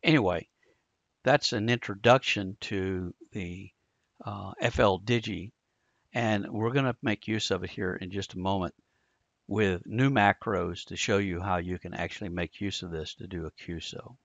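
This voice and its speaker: Low-voiced American man, dull recording. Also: moderate pace, vocal fry, fairly bright tone, no room sound. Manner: informal step-by-step explaining. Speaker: amateur radio instructor. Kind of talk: casual screen-recorded tutorial.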